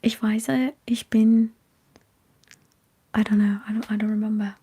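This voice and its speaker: Female ASMR streamer, mellow and heavily whispered, breathy, unhurried and halting, chatting to live viewers. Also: medium pitch, monotone. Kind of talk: whispered ASMR livestream chat.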